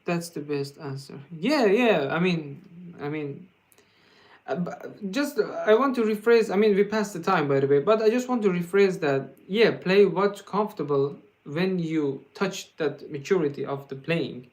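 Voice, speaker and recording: A man from Iran with a Persian accent, hosting a guitar livestream and answering viewer questions in a casual, halting way, full of fillers and restarts. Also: sits high, expressive. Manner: laughs often, joking.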